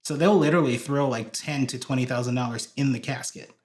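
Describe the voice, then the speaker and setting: A man's voice: medium pitch, brisk, a little mellow. A man from Hawaii, a guest on a podcast, telling stories in a rambling way with many fillers and restarts. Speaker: podcast guest, church video director. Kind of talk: casual interview chat.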